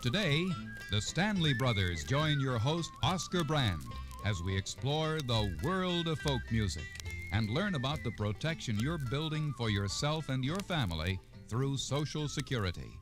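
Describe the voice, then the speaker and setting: A man's voice: medium pitch, sing-song, unhurried. A man announcing an old-time radio program, reading a scripted public service introduction. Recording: old radio broadcast, faint music.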